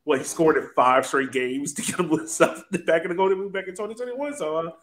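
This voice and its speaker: A man's voice: a little high, fairly quick, fairly bright tone, a little hiss or hum. A man hosting a casual sports podcast, chatting in a playful, teasing way. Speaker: podcast host.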